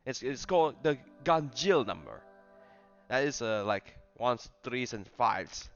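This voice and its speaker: Stammering, animated man commentating on a game. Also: mid-range voice, highs cut off, faint music behind. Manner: halting, stammering, repeats words. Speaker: gaming streamer. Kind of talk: casual live gameplay commentary.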